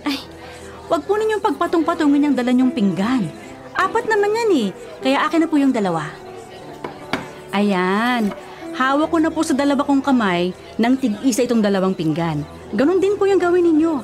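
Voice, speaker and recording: A woman playing a bossy, superstitious older sister in a radio drama, scolding, insistent and quick to defend herself. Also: high voice, sing-song, darkish tone. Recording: prominent music behind the voice. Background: music.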